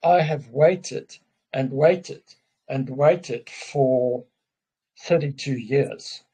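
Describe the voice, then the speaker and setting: Husky-voiced man, slow and halting. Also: medium pitch, warm tone, poor audio. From South Africa. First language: Afrikaans. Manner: halting, restarts often, openly emotional. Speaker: guest. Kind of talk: casual conversation.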